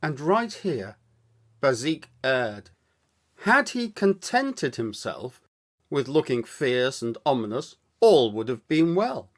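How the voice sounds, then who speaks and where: A man reading a story aloud as scripted narration, with measured, deliberate, dramatic phrasing. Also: mid-range voice, big pitch swings. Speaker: audiobook storyteller, actor.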